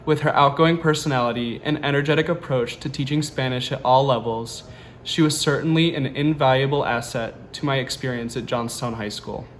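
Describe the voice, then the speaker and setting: A young man in his twenties reads a cheerful, formal tribute to his teacher. His voice sits in a middle pitch, with faint background noise. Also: a little fast. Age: 20s. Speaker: university senior student. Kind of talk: prepared award nomination statement.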